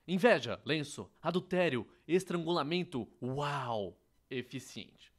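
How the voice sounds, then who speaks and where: A man with a Brazilian accent reading a scripted educational narration, with a wry, ironic manner. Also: a little high. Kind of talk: scripted explainer narration, dubbed.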